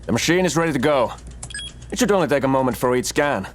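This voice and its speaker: A man's voice: medium pitch, expressive, fast, very noisy, natural voice. An actor playing an eager, sycophantic male crewman in a sci-fi audio drama, keen to please and reassure. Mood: eager, servile. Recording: loud music behind the voice. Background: loud music, machine beeps.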